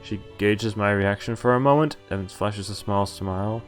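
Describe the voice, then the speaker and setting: A man whose native language is Dutch speaks in a slightly low voice as a game streamer. He reads story text aloud and drops in casual remarks, stumbling on the odd word. Faint music plays behind him. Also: moderate pace. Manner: stumbles over words while reading, comments to himself. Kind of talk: reading a visual novel aloud with casual live reactions.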